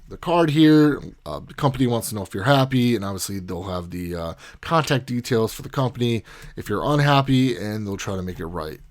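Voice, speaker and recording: A man's voice: on the low side, a little mellow. A man hosting a casual unboxing video, talking informally and explaining things step by step. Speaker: video host, product unboxer.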